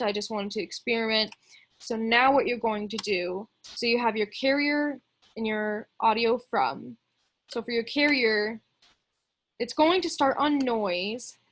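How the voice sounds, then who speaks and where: A woman with a slightly high-pitched voice leads a music production tutorial. She explains each step casually and informally, thinking out loud as she demonstrates on screen.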